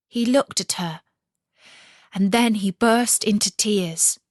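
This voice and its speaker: A woman storyteller reading a scripted fairy tale in an expressive, measured way. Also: medium pitch, dry recording, clean studio sound.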